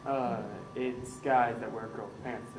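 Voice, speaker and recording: A man's voice: medium pitch, flat delivery, slow pace, lightly acted. A man playing a deferential presidential aide who prompts and corrects his boss in a comedy skit. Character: helpful male presidential aide and announcer. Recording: noisy echoey amateur recording.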